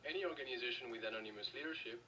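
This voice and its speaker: A man's voice: mid-range voice, very fast, bright, forceful tone, lightly acted. An actor playing a defiant, bitter French father in a video game, speaking with a French accent. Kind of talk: video game dialogue acting. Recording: distant, noisy game capture with ambience.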